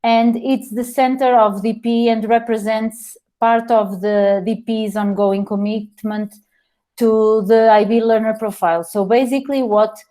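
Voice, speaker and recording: A woman with a Portuguese accent presenting a webinar over a video call, halting often and restarting her sentences. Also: on the high side, steady tone, mellow. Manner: hesitant, frequent pauses and restarts.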